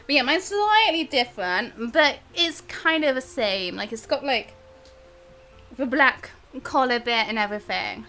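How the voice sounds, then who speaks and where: A woman chatting casually and bubbly as she hosts a live game stream, quick to giggle. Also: sits high, expressive, bright, forceful tone, soft background music. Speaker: live stream gamer and host.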